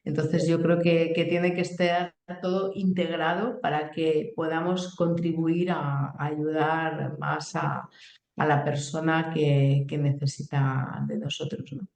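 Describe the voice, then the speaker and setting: A woman's voice: deep voice, level tone, takes it slowly, creaky, a little mellow. Woman, doctor, hesitant, echoey room. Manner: hesitant, many fillers and restarts. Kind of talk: explaining on a talk show. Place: clinic. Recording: roomy echo.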